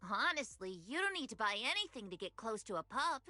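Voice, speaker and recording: Bright bouncy shop lady from a game, kind n earnest, really animated, close dry sound. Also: on the high side, brisk, no echo, soft background music, exaggerated voice. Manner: friendly, earnest. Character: cheerful, kindhearted pet shop clerk who loves puppies. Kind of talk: video game voice acting.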